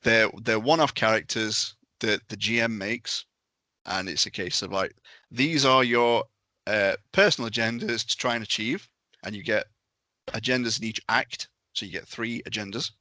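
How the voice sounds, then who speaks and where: A man talks through examples in an informal, explanatory podcast style. His voice sits fairly low but has a bright, forward edge. The sound is close and dry. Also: normal speed, no echo. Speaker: podcast panellist explaining a tabletop game. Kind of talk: casual podcast discussion.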